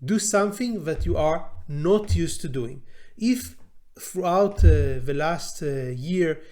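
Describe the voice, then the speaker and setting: Animated Hebrew-speaking man in his forties. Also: fairly high pitch, takes it slowly. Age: 40s. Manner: animated, with many fillers and restarts. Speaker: language teacher. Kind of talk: informal talk to camera.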